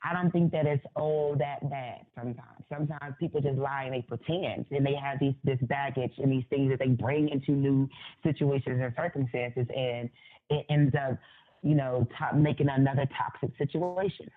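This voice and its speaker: A woman's voice: extremely low pitch, steady tone, normal speed. A woman interview guest giving her opinions conversationally, repeating phrases for emphasis. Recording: thin phone-like line.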